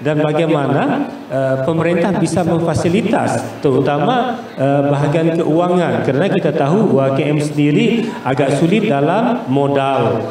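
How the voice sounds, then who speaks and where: A man from Malaysia with a Malaysian accent gives explanatory interview answers as a business guest, pausing and searching for words. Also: on the high side, lively intonation, a little mellow. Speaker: business guest, investor and trade promoter. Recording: echoey, distant mic with faint noise.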